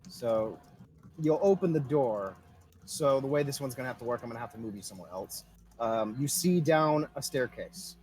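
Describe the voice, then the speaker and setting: A man's voice: mid-range voice, lively intonation, normal speed, darkish tone. A man narrating a tabletop game casually as game master.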